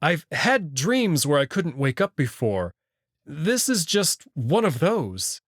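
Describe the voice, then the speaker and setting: An unhurried man narrates a visual novel in first person. His voice sits a little high and moves in lively swings of pitch. The sound is dry and close. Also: dry recording.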